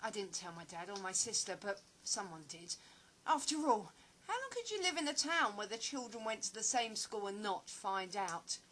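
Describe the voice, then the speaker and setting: Casting: actress playing reserved, wistful woman living alone, bright voice, audio drama, faint background noise. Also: medium pitch, sing-song, normal speed, rough recording. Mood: wistful, reminiscing. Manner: hesitant, reserved, reminiscing. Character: guarded, wistful woman living alone, grieving her father. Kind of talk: audio play dialogue.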